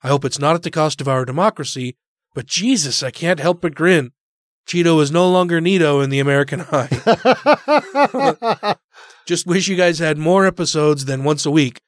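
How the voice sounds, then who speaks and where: Hoarse, congested podcast man. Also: a little high, moderate pace, no echo, clean studio sound. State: losing his voice, congested. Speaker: podcast co-host. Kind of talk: casual political chat.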